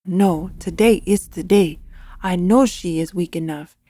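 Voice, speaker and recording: A woman's voice: fairly low pitch, a little slow, no room sound. A woman voicing a sly, vengeful male sorcerer who snaps and rages, read in an audio drama. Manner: sly, snapping and forceful.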